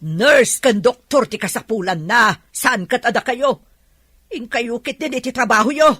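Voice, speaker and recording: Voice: medium pitch, normal speed, pushed and bright, husky, no room sound, clean studio sound. An actor with a Filipino accent playing a stern, unsympathetic boss in a radio drama. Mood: scolding.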